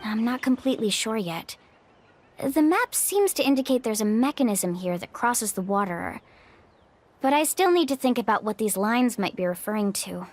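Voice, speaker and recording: Upbeat, high-voiced young adventurer. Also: female voice, animated, moderate pace. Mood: thoughtful. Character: friendly, upbeat young tribeswoman adventurer leading a search. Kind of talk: video game voice acting.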